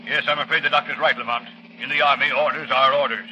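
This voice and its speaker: An actor playing a bluff, commanding but genial army colonel in 1930s radio drama style. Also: male voice, mid-range voice, steady tone, quick pace, pushed and bright, dull audio. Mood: genial, authoritative. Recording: noisy, dated 1930s radio recording.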